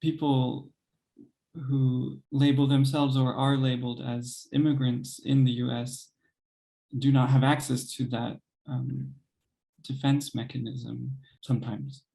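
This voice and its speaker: A young man and composer, speaking thoughtfully in an interview, hesitant with many pauses and soft laughs. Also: medium pitch, level tone, vocal fry, rough recording. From United States. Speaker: composer and pianist guest. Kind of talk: reflective interview conversation.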